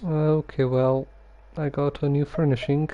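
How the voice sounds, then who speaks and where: A man giving casual livestream commentary on a game, halting and musing to himself. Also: a little high, little pitch movement, mellow, muffled recording, a little hiss or hum. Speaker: game streamer.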